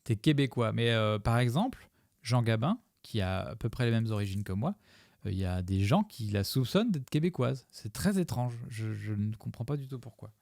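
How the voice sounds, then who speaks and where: A man's voice: mid-range voice, creaky, a little mellow, no room sound, clean studio sound. A man streaming live, commenting on his own gameplay video with a chat, rambling and joking as he thanks his followers.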